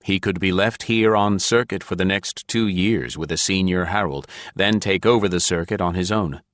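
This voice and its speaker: A man's voice: deep voice, normal speed, fairly bright tone, no room sound. A man reading a fantasy novel as a scripted audiobook narration.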